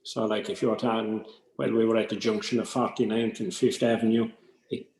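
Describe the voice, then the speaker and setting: A man's voice: fairly low pitch, flat delivery, brisk, a little mellow. Irish man in an echoey room. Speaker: drama workshop adviser. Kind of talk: informal advice to a group.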